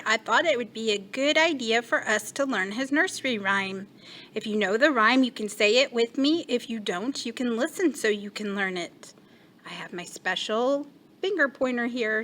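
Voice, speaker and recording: A woman teacher reading a storybook aloud to young children with deliberate, expressive phrasing. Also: fairly high pitch, slight background noise.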